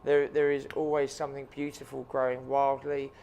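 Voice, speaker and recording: A man's voice: mid-range voice, completely flat delivery, brisk, a little mellow, slight background noise. A man, a chef and restaurant owner answering interview questions earnestly, with frequent ums and repeated words.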